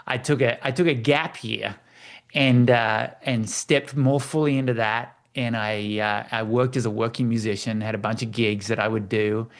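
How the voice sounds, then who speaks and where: A man's voice: medium pitch, fairly even intonation, normal speed. A middle-aged man from Tasmania with an Australian accent, telling his story casually as an interview guest on a video call. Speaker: interview guest, church staffing executive.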